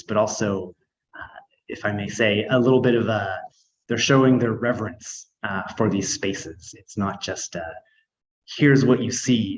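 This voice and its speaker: Low-ish guy hosting an online art talk, lots of uhs, dull muffled sound. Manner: hesitant, frequent filler words. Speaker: arts council host. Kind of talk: live online artist talk.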